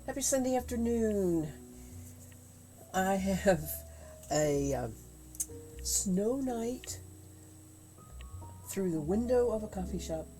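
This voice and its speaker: A woman with a head cold chatting casually on a livestream while she spins yarn at home, rambling with many fillers and halting pauses. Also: medium pitch, lively intonation, rough recording. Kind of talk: casual chat while spinning. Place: home craft room. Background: faint quiet music.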